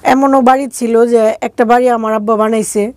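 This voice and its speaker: Mellow Bangladeshi woman recounting her life. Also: a little high, fairly even intonation, normal speed, no echo. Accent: regional Bangladeshi. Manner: colloquial, acting out remembered dialogue. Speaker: interview guest. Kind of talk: conversational personal life-story telling.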